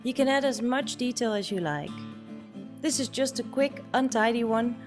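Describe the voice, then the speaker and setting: A woman's voice: on the high side, normal speed. A woman presenting a drawing tutorial as a voice-over, explaining her technique step by step. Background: plucked guitar music.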